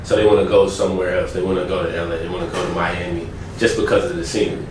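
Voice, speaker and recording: A man's voice: sits low, fairly even intonation, racing. An American man hosting a casual group chat in a playful, slangy way. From United States. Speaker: host. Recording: very echoey room, distant mic, faint noise.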